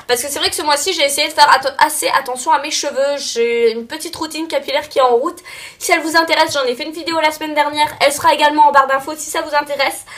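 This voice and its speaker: A woman's voice: high-pitched, steady tone, fast talker, fairly bright tone. Chatty young woman with a stuffy voice. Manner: chatty, many fillers and self-corrections. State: slightly stuffy from a cold. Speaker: beauty vlogger. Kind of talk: casual monthly favorites chat.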